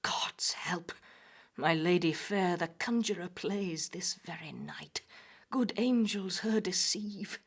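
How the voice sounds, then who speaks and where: A man's voice: high voice, slow, pitched above the performer's own voice, full character voice. A man voicing a frail, fearful, scolding old nursemaid with a thin, whispery, quavering voice in a dramatic audio poem. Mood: muttering, amazed. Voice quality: breathy, whispery, thin aged voice. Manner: quavering, urgent, archaic speech. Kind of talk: dramatic poetry recital.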